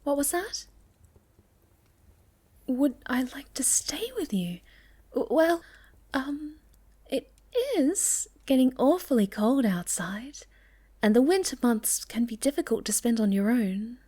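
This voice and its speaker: A woman acts out a sweet young moth girl with a fairly high voice. She is shy and hesitant, telling her story softly and intimately as ASMR roleplay. Her gentle speech often sinks into a breathy near-whisper. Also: takes it slowly, pauses often, full character voice. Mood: shy, hopeful.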